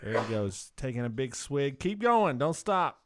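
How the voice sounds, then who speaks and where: A man's voice: medium pitch, big pitch swings, moderate pace, noisy background. A man hosting a casual comedic podcast, teasing and firing questions at his friends in loose banter. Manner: teasing, interrogating. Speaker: podcast host.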